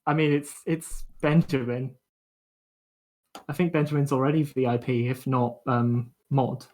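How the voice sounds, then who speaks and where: A young adult co-hosts a casual livestream. The voice sits at an ordinary middle pitch, and the talk is informal, with playful banter. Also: fairly even intonation, unhurried, darkish tone, rough recording. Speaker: co-host.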